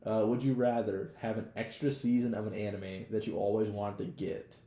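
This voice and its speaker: A man with a fairly low, gravelly voice in a roomy, echoey space. He talks as a podcast co-host in loose, slangy banter, swearing freely and padding his sentences with "like.". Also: flat delivery, moderate pace, dark tone. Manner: slangy, profane, laughs often, hedges with "like". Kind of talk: casual banter, would-you-rather questions.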